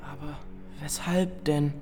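An actress playing a wide-eyed, stubborn young seeker in a radio play, emotional and easily awed or grieved, sometimes dropping to a whisper. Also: female voice, sits low, steady tone, takes it slowly, over music. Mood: quiet resolve. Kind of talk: German radio play acting.

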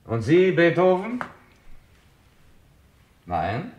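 A man playing a calm, insistent police inspector in a German radio crime drama, dry and clipped. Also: fairly high pitch, drawn-out words, lo-fi sound, slight background noise, full character voice. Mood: probing. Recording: vintage radio play, music behind.

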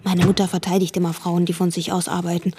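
A woman's voice: lowish voice, level tone, fast talker, mellow, slight background noise, lightly acted. An actress playing a bookish, inquisitive girl, narrating and speaking in a radio drama. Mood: observant. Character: bookish, inquisitive girl recalling events as they happen.

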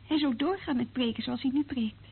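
A woman's voice: high-pitched, normal speed, breathy edge, full character voice. An actress playing a sceptical female colleague in a radio play, dry and questioning. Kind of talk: radio drama acting. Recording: narrow muffled old radio recording, faint noise.

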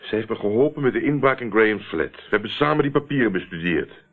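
Low-voiced, assertive investigator, old radio play. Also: male voice, lively intonation, quick pace, breathy edge. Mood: calm, explaining. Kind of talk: Dutch radio drama acting. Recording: muffled, band-limited old radio play.

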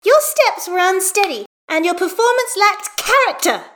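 A woman voicing an excitable young girl, bossy and playful, in a storybook narration. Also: very high voice, normal speed, voice pushed up, full character voice. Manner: eager, dramatic declarations and pleas.

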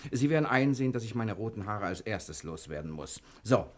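An actor playing a suave, self-assured gentleman thief in a 1960s German radio crime drama, speaking with polite, flowery mock courtesy. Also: fairly low pitch, animated, a little fast, a little hiss or hum, natural voice. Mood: breezy, cheeky.

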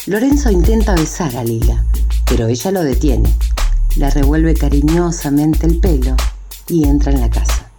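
Low-voiced woman narrating radio drama. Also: lively intonation, moderate pace. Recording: music behind the voice.

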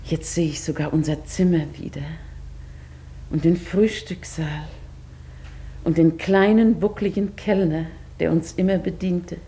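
Mellow, wistful older woman. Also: lowish voice, fairly even intonation, measured pace. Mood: wistful, moved. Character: ailing older woman of modest means, wistful, proudly reserved. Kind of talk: German radio play acting.

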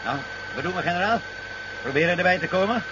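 A dutiful pilot with a high voice, practical and respectful in manner. It is a muffled vintage radio play with loud vehicle noise behind him. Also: male voice, animated, fast. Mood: matter-of-fact. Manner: deferential, practical. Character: dutiful aircraft pilot serving under a general. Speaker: actor. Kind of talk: 1970s science fiction radio play acting.

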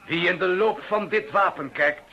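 An actor playing a formal, deliberate arms salesman with quiet deadly intent in a radio play. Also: sits high, natural voice. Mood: grave, menacing. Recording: dull old radio recording.

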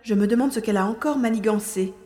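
Woman acting an anxious young sleuth, echoing, high-voiced. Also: fast, warm tone, lightly acted. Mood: suspicious. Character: anxious young sleuth narrating while sneaking and hiding. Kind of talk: audio drama acting with inner monologue. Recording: roomy long reverb, faint noise.

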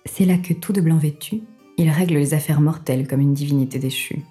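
A woman performing as the detached, ironic storyteller of a dark audio fiction, with formal, measured literary narration. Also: sits low, soft background music, lightly acted. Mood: wry, detached.

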